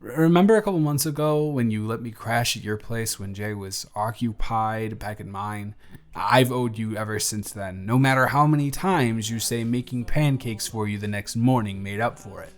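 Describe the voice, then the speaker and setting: A man's voice: fairly low pitch, moderate pace, close to an everyday voice. A young man playing a chatty, friendly barista who is sweet on a friend, in an intimate one-sided audio roleplay with casual, slightly stammering delivery. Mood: caring, reassuring. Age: young adult. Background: music.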